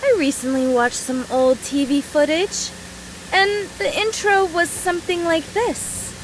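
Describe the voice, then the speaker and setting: A woman's voice: very high-pitched, measured pace, slight background noise, rough recording. A woman voice-acting a brash, mischievous young woman fighter from a video game, teasing in a playful, folksy, mock-serious way. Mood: sly.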